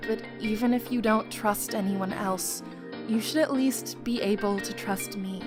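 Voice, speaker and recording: A woman's voice: mid-range voice, unhurried, over music, close to an everyday voice. A woman playing a caring, loyal young soldier in an audio drama, earnest and persuasive. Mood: earnest, concerned.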